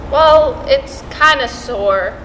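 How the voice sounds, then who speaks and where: A high-voiced, modest schoolgirl acted by a woman in a school play. She speaks slowly and politely on an echoey stage. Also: lively intonation, a bit bright, full character voice. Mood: mild, modest. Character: modest, well-liked high school homecoming queen. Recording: echoey stage with clear noise.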